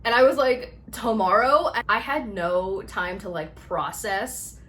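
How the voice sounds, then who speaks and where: A woman chatting casually to camera as she tells a personal story, animated and conversational as she acts out reactions. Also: medium pitch, slow. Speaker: actor and vlog host telling her own story.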